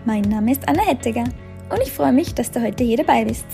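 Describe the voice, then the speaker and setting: A woman's voice: on the high side, lively intonation, a little fast, creaky, mellow, faint music behind. A woman from Austria hosting a podcast, introducing her guest with upbeat enthusiasm. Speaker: podcast host. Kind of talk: podcast interview.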